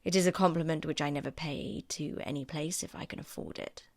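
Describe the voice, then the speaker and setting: A proud, reserved young gentleman acted by a woman narrator in a classic audiobook. He speaks coolly and formally, in a low, composed voice with clipped manners. The recording is very dry. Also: steady tone, brisk, vocal fry, dry recording. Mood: cool, curt. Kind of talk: audiobook character acting.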